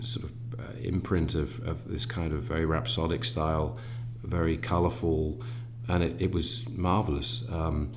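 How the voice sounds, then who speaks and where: A man's voice: rumbling low, flat delivery, normal speed, hardly any pauses, background noise. A man, a cellist in a string quartet, talking thoughtfully in an interview with many fillers and restarts. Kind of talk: reflective conversational interview.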